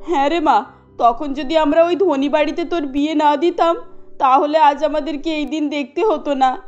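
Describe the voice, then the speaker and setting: A woman's voice: high-pitched, steady tone, moderate pace, darkish tone, lo-fi sound, faint music behind, natural voice. A woman voicing a pious, sorrowful middle-aged village mother in a cartoon story, consoling. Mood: soothing, sorrowful.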